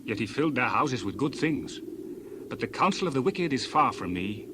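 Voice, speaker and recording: A man's voice: mid-range voice, big pitch swings, normal speed, background noise, close to an everyday voice. A man playing a stern, admonishing counselor in dramatized scripture, preaching in a declamatory way. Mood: stern rebuke. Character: stern, admonishing friend of Job, a righteous counselor.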